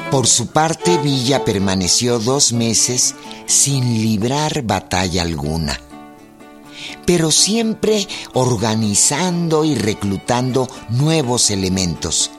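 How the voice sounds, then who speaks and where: Slow, animated Mexican male narrator over music. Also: mid-range voice. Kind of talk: dramatic scripted narration of a historical radio drama.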